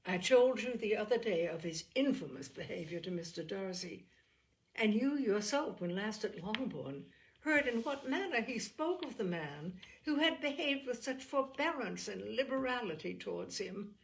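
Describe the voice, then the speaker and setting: A woman acts out a young Regency gentlewoman from a classic novel. She is candid, earnest and quick to indignation, speaking in formal, emphatic phrases with animated stress. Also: medium pitch, natural voice, rough recording. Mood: earnest, indignant.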